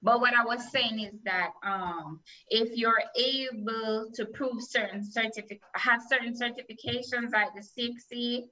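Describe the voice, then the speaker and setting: A woman's voice: a little high, steady tone, measured pace, few pauses, sharp tone. A middle-aged woman, an attorney hosting a talk show, explaining legal matters step by step with examples over a video call. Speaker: host, immigration attorney. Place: small plain room indoors, video call. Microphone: laptop or webcam microphone on video call. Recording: dull, lo-fi video-call audio.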